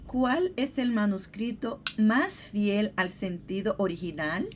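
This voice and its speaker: A middle-aged woman with a Dominican accent co-hosting a Bible study program, lively and fond of practical examples. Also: mid-range voice, takes it slowly, slight background noise. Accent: Dominican Spanish. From Dominican Republic. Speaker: co-host, literature specialist.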